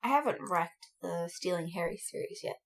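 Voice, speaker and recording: A woman's voice: fairly low pitch, expressive, a little fast. A woman co-hosting a podcast, chatting casually about fandom with emotive, exclamatory reactions. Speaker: podcast co-host.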